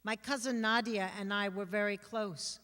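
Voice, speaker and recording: Woman reciting poetry live: mid-pitched, unhurried and measured, reverberant hall sound. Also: steady tone, a bit bright. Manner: measured, list-like phrasing with pauses. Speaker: actor or reader of others' writing. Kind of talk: dramatic reading of a personal poem.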